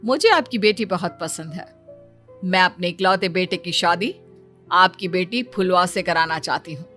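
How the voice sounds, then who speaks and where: A woman acts out a rich, domineering cartoon mother-in-law. Her voice is bright and sharp, haughty and commanding, with music underneath. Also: fairly low pitch, lively intonation, moderate pace. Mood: businesslike. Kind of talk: Hindi animated story voice acting.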